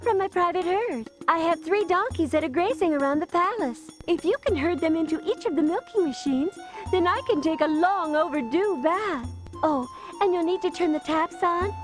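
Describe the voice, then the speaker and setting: A woman's voice: high-pitched, lightly acted. A woman voicing a vain, melodramatic ancient queen in a cartoon game. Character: vain, melodramatic ancient queen fretting over her looks. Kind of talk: cartoon game character acting.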